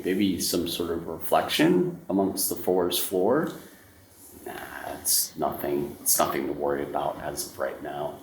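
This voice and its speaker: A man with a very low voice runs a horror tabletop game as game master. He narrates slowly and dramatically, repeating phrases for suspense. The recording is roomy, a bit off the mic, with faint noise. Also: far from the mic.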